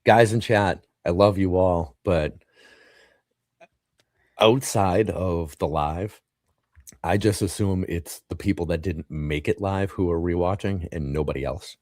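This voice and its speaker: Very deep-voiced laid-back man. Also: a little mellow, no echo, clean studio sound. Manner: laid-back, agreeable, quick to laugh. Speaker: podcast host. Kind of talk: casual interview chat.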